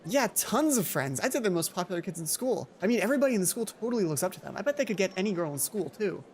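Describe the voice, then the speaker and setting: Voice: mid-range voice, sing-song, very fast, a little hiss or hum, natural voice. A let's player voicing a gossipy, boy-crazy teenage schoolgirl in a visual novel, chatty and confiding. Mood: enthusiastic.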